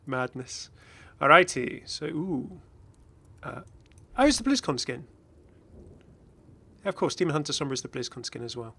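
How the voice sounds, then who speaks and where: Bright, slightly high-voiced man reacting to games. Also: sing-song, moderate pace, creaky, breathy edge. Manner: rambling, exclamatory, chuckling asides. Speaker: gaming video host. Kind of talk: casual excited game reaction commentary.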